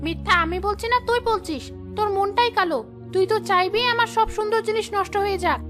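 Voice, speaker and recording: A woman's voice: very high-pitched, quick pace, a bit bright, faint music behind. A woman playing a vain, spiteful young sister-in-law in a melodramatic cartoon, haughty and taunting. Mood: shrill accusing. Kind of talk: melodramatic cartoon story dialogue.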